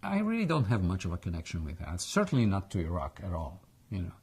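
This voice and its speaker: Older accented male interviewee, gravelly and husky, reflective, halting delivery. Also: deep voice, huge pitch swings, a little mellow. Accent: foreign English accent. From Egypt. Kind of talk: oral history interview.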